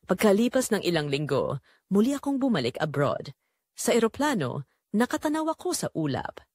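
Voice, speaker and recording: Casting: female Filipino audio drama narrator, earnest and emotive, animated pitch, close dry recording. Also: normal speed, husky, dry recording. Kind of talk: scripted first-person dramatic narration.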